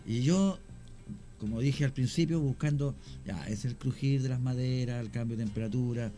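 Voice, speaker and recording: A man, a radio guest slowly telling a paranormal story with many pauses. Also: mid-range voice, warm tone, soft background music. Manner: slow, halting storyteller. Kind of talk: radio talk show storytelling.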